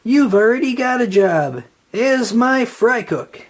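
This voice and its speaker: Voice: mid-range voice, lively intonation, takes it slowly, voice pushed up. A storyteller voicing a blustering, greedy male crab who owns a restaurant, in a children's storybook, with a proud, bellowing manner. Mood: outraged. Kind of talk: children's storybook read-aloud.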